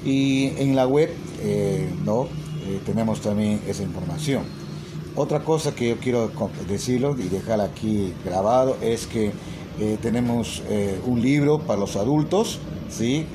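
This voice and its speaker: Middle-aged man, hesitant, noisy phone audio. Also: medium pitch. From Peru. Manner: hesitant, many fillers and tag questions. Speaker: English teacher and language school owner. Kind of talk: casual selfie video talk. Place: small room indoors. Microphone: phone at arm's length.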